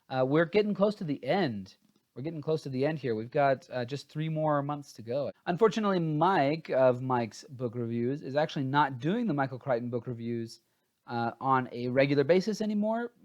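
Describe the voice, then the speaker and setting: A man's voice: medium pitch, darkish tone, dull audio. A man chatting casually as he hosts a book review, informal and a bit scattered and hesitant, sounding tired.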